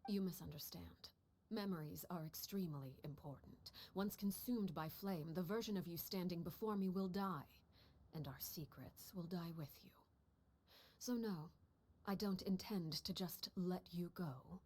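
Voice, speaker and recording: A woman voicing a cold, commanding head of a household called Father, speaking in a calm, measured way with hushed, grave whispers in a game cutscene. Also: lowish voice, breathy edge. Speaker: stern head of an orphan household. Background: game music score.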